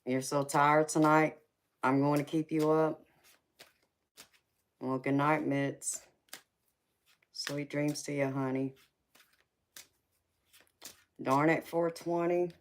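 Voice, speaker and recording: A woman in her forties speaks with a Southern twang in a very deep, low voice. She hosts a live tarot chat, laughing often and calling her viewers baby and honey. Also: fairly even intonation, slow, long pauses. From Georgia, US. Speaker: tarot reader livestream host. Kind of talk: casual live chat reading comments.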